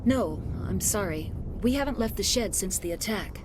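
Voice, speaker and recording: A woman's voice: mid-range voice, lively intonation, normal speed, background noise, natural voice. A woman playing a shaken, earnest colony scientist who survived an attack, in a video game. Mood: subdued.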